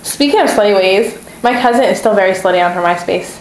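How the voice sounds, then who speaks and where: A young woman co-hosting a casual podcast, chatty and quick to laugh, with a voice she calls screeching, recorded on a shared mic. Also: medium pitch, lively intonation. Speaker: podcast co-host. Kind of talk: casual chat. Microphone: shared mic on a table, an iRiver player. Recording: echoey room, faint background noise.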